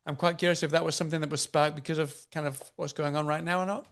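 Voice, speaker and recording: A man with a somewhat high voice hosts a casual interview. He asks long, curious questions and often circles back and restarts his sentences. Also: steady tone, fast, a bit bright. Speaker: interview host.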